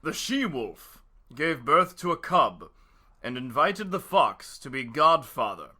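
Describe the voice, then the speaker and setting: A man's voice: on the high side, very animated, slow, bright, forceful tone. A man hosting a casual, parodic story reading, switching between chat and narration. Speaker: host, story reader.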